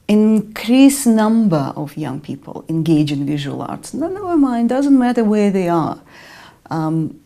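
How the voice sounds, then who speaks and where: Measured Russian-accented woman, mellow voice. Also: mid-range voice. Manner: measured, with frequent ums and restarts. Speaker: interview guest, artist and educator. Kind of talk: interview answers.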